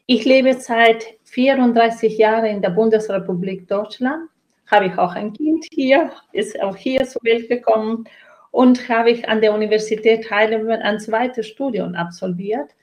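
A woman's voice: on the high side, moderate pace, a little mellow, low-quality recording. Earnest middle-aged woman, Latin American accent. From Chile and Brazil. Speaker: interview guest, trainer and coach. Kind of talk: reflective interview answer about own life and work.

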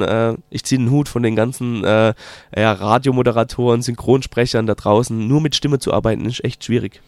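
Palatinate German man, mid pitch, hesitant filler-heavy delivery, dry close recording. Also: darkish tone, dry recording. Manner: hesitant, many filler sounds, slow drawn-out phrasing. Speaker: documentary filmmaker guest. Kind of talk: casual interview answers.

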